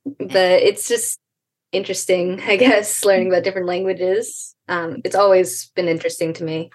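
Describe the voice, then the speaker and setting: A young American woman, a podcast guest, talking thoughtfully with frequent ums and pauses. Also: lowish voice, fairly even intonation. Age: young adult. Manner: thoughtful, hesitant, many ums. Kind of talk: casual interview.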